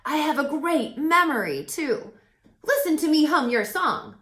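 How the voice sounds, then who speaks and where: A woman voicing a quirky, chatty male crow in a children's storybook, raspy and gushing with admiration. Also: sits high, sing-song, unhurried, fairly bright tone, echoey room, pitched above the performer's own voice, heavily acted. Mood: chatty, proud.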